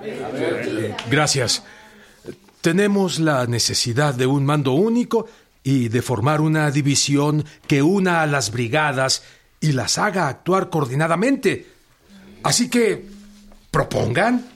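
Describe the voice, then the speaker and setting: Radio drama: courteous army organizer, fairly high voice, formal. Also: male voice, lively intonation, slow, long pauses. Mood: formal, measured. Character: polite, orderly male military organizer. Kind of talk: Spanish-language radio drama acting.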